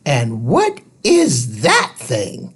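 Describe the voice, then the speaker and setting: A man voicing a bossy neighbour woman in a children's storybook, booming and snorting as they scold. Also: wildly swooping pitch, very slow, slightly rough, heavily acted. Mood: suspicious, gruff.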